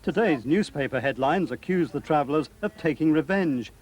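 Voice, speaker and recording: Higher-pitched British male news reporter. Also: expressive, moderate pace, darkish tone, a little hiss or hum. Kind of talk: scripted report narration.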